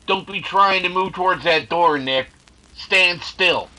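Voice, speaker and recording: A woman's voice: lowish voice, a little slow, background noise, lightly acted. A woman playing a detective's jittery, slangy sidekick in a 1930s-style radio mystery, with a hesitant, stammering way of talking. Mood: wary.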